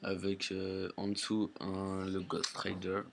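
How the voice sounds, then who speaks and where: A man with a low, gravelly voice presents a casual demo. He speaks plainly and haltingly, as an amateur would. There is faint noise behind him on the homemade recording. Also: monotone. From Portugal or Portuguese family. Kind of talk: casual product demonstration.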